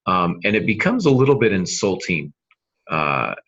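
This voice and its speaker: Male podcast host, very low voice, explanatory and measured, slightly dull lo-fi sound. Conversational mentor delivery. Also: big pitch swings, fairly bright tone. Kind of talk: conversational writing-advice podcast.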